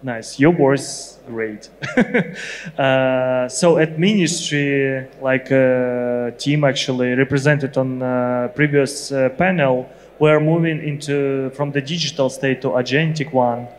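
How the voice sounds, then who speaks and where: A man from Ukraine interviewing a guest on stage, with halting, hesitant phrasing. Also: mid-range voice. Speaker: interviewer, government ministry representative. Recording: reverberant hall with background noise.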